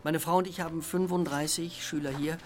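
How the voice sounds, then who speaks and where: An actor as gushing reverend over music. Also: male voice, on the high side, a little fast, close to an everyday voice. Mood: proud. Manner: gushing, excitable. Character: effusive, flustered, deferential male reverend running school. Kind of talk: German radio mystery drama acting. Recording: music behind the voice.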